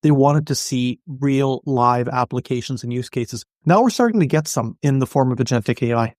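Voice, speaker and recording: A man giving explanatory interview answers as an expert podcast guest, articulate, measured and businesslike. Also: medium pitch, darkish tone, dry recording, studio quality. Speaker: podcast guest, industry analyst.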